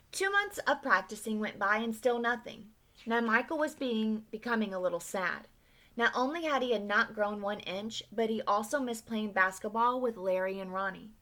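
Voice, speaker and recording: A woman reading a children's picture book aloud with expression, pausing to ask her listeners questions. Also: medium pitch, a bit bright. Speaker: read-aloud storyteller.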